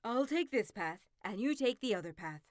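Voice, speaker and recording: A woman's voice: a little high, lively intonation, moderate pace, dry recording, clean studio sound, lightly acted. Woman doing a sly, sweet-talking wolf. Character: sly, hungry male wolf. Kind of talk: storybook reading.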